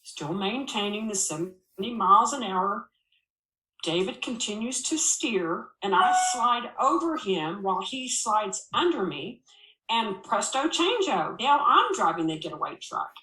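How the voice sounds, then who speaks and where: A woman narrates a personal story in a medium-pitched voice. Her reading is expressive and dramatic with a wry edge. The recording has a noticeable room echo around her. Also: unhurried, recorded from a distance. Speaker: narrator reading a memoir chapter. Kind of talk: expressive first-person audiobook-style storytelling. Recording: roomy, echoing voice recording.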